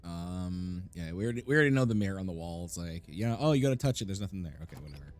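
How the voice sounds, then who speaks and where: A man with a hoarse, raspy voice giving chatty, excitable commentary while playing a video game. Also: low-pitched, racing. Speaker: let's play gamer. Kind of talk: casual gameplay commentary.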